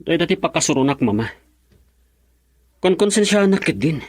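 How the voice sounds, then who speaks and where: An actor with a Filipino accent playing a troubled, frustrated young husband in an Ilocano radio drama. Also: male voice, fairly high pitch, sing-song, brisk, husky, dry recording.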